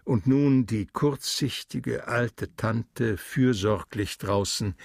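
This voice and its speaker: Gravelly male storyteller. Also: medium pitch, takes it slowly, no room sound, studio quality. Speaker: narrator. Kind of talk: literary radio drama narration.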